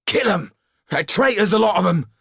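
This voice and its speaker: Man as angry rabble-rouser, aggressive, raised pitch, swooping, blunt, audiobook character. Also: expressive, normal speed, creaky, a bit bright, slightly rough, no room sound, studio quality, exaggerated voice. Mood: bloodthirsty. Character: burly, red-faced, angry rabble-rouser of the revolution.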